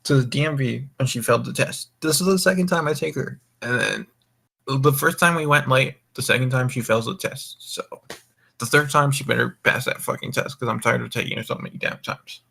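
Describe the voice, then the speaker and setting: A man's voice: mid-range voice, a little fast, vocal fry, bright, forceful tone, breathy edge. A young man hosting a gaming voice call, rambling casually in a laid-back way and swearing often. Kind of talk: casual group chat, rambling. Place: online voice call.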